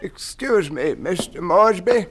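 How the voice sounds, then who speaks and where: An actor playing a deferential, worried butler, formal and polite, with a creaky voice in a radio mystery. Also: male voice, sits high, expressive, a little slow, over music. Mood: deferential, concerned.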